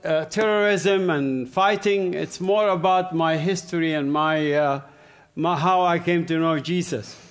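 A man from the Gaza Strip giving a church testimony in short phrases, pausing for an interpreter. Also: fairly high pitch, clean tone. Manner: speaks in short phrases with pauses for translation. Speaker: guest speaker. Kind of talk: testimony talk with live interpreter.

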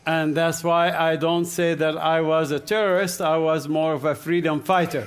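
A man from the Gaza Strip giving a church testimony in short phrases, pausing for an interpreter. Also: on the high side, little pitch movement, clear, resonant tone. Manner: speaks in short phrases with pauses for translation. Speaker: guest speaker. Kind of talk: testimony talk with live interpreter.

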